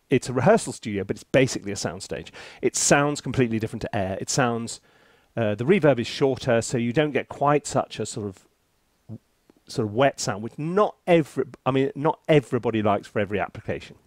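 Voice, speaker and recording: A man's voice: medium pitch, expressive, brisk, no room sound. A British man presenting a casual, chatty tutorial with jokey, theatrical asides, often interrupting himself. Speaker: composer reviewing music software, presenter.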